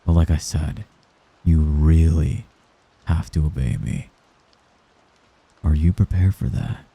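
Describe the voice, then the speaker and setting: A man playing a young mafia heir in an intimate ASMR roleplay, a gentle, hesitant and possessive friend who gives orders apologetically. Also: extremely low pitch, fairly even intonation, breathy edge, exaggerated voice. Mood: earnest, cautious. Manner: soft-spoken, halting, apologetic while giving orders.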